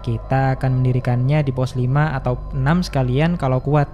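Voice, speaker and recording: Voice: mid-range voice, little pitch movement, a little fast, warm tone, highs cut off, faint music behind, lightly acted. Seorang pengisi suara memerankan pemimpin rombongan pendaki yang memberi arahan dan menenangkan teman-temannya. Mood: calm. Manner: reassuring, instructive. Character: calm hiking group leader. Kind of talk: animated horror story voice acting.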